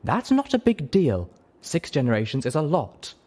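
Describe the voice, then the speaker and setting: An exasperated adult mare in a storybook quarrel, voiced by a man. She scolds and huffs in a lively, argumentative way. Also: on the high side, moderate pace, voice pushed up. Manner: huffy, scolding. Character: two arguing adult pony mares, exasperated, scolding.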